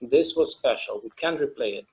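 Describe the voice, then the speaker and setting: A weary, wistful man played by a male actor talks in a halting, everyday way, as in an intimate stage drama. His mid-pitched voice comes through a slightly dull recording. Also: close to an everyday voice. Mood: wistful, relieved. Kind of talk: conversational table-read acting.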